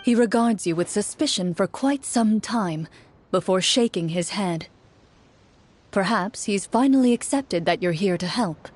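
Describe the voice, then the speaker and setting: A woman narrating a fantasy game as game master, in a measured, dramatic storytelling manner. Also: mid-range voice, expressive, a little mellow. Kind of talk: scripted second-person fantasy game narration. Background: music.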